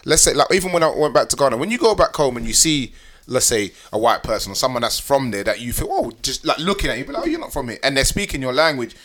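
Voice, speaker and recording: A young British man co-hosting a casual chat, animated and slangy, full of "like" and "yeah". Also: medium pitch, fast talker. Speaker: podcast co-host.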